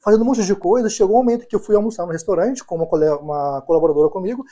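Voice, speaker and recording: Mellow-voiced male psychologist guest. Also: high voice, racing. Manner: affirming, storytelling, frequent "né" and "perfeito". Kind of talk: podcast interview chat.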